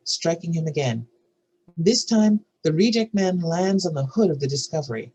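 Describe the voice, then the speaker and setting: Brief: female narrator for screenplay directions, scripted read, husky tone. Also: deep voice, dark tone, poor audio. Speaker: narrator reading stage directions.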